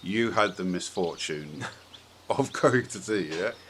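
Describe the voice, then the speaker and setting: A man's voice: sits low, lively intonation, slow, a bit bright, a little hiss or hum. A British man bantering casually on a podcast in a wry, jokey, informal way. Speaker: podcast speaker.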